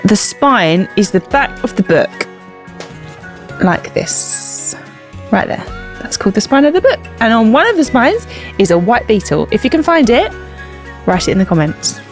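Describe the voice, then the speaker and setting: A woman's voice: a little high, very animated, normal speed, faint music behind. A woman chatting casually as a mother co-hosting children's story time, encouraging, asking questions and explaining words simply. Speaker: co-host, mother of the young reader.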